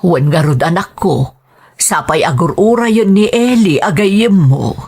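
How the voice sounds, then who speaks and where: Woman acting a worried mom in an audio drama, lowish husky voice, gentle and cautioning. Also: lively intonation, a little slow, full character voice. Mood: careful, concerned. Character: concerned, cautioning mother of a grown son.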